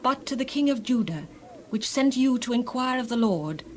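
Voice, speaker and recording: An actress playing a stern prophetess who solemnly declaims divine judgment in dramatized scripture. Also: female voice, fairly high pitch, lively intonation, moderate pace, slight background noise, natural voice.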